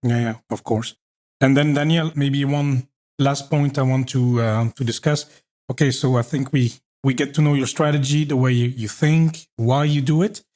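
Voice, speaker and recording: A man's voice: mid-range voice, steady tone, normal speed, darkish tone. A man from Belgium hosting an interview podcast, asking questions conversationally and often backchanneling. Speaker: podcast host. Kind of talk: casual interview talk.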